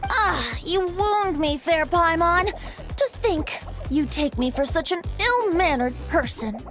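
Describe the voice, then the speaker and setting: A woman's voice: extremely high pitch, animated, slow pace, fairly bright tone, faint music behind, exaggerated voice. A woman playing a playful, whimsical young male bard in an anime game, with a lilting, theatrical and poetic delivery. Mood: mock-innocent.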